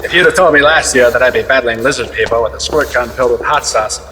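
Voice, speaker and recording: An actor playing a wisecracking, exasperated sidekick with old-time slang in an audio drama. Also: male voice, mid-range voice, quick pace, over music, lightly acted, low-quality recording. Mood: wry, urgent.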